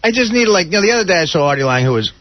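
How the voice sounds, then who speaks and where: A man bantering casually and excitedly in a radio studio conversation. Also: high-pitched, rapid-fire.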